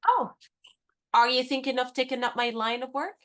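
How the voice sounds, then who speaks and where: A woman's voice: high voice, moderate pace, pushed and bright. A woman acting a cool, poised and inquisitive young woman in a period radio play. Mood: curious, brisk.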